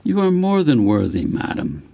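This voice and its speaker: Man reading as formal, courtly, creaky-voiced hawk. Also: mid-range voice, wildly swooping pitch, moderate pace, warm tone. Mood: formal, gracious. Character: small, dignified, very formal hawk. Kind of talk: storybook character in audiobook.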